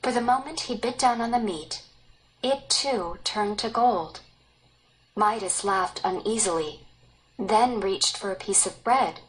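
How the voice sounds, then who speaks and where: A woman narrates a scripted story aloud. Her pace is slow and deliberate, her pitch is average, and her delivery is expressive. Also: poor audio.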